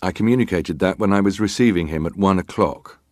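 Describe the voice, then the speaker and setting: Casting: actor for middle-aged male naval officer in hearing drama, deep voice, clipped formal answers, close dry sound. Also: fairly quick, a little mellow, no room sound, close to an everyday voice. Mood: guarded, composed. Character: formal ship's officer testifying, guarded and terse. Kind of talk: dramatised reading of hearing testimony.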